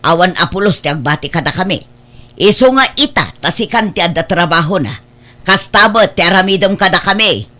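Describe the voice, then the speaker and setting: A woman's voice: on the low side, animated, fairly quick, a bit bright. A woman with an Ilocano Filipino accent playing a demanding, money-minded mother in a family audio drama.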